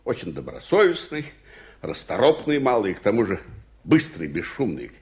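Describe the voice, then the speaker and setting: An actor playing an excitable, proud uncle who is a war veteran, in an old radio play. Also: male voice, lowish voice, moderate pace. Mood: apologetic, courteous. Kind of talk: 1980s Soviet radio theatre acting.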